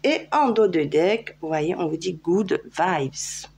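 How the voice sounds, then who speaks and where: A French woman talks her viewers through a tarot card reading in a loose, chatty way, full of fillers and restarts. Her voice sits somewhat low for a woman. A faint hum runs under the recording. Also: animated, slow, darkish tone. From France. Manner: chatty, hesitant, many fillers. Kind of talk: casual tarot guidance video.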